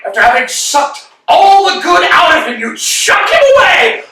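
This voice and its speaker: An actor playing an excitable, outraged tramp in a staged play reading. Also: male voice, very high-pitched, moderate pace, recorded from a distance, full character voice. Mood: indignant. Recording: echoey room, from afar.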